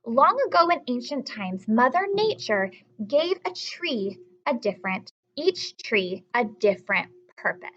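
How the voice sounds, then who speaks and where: A woman with a high, very expressive voice teaches young children by reading aloud. She explains things simply and checks in with questions, stretching key words like a storyteller. The recording is a little dull and lo-fi. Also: measured pace.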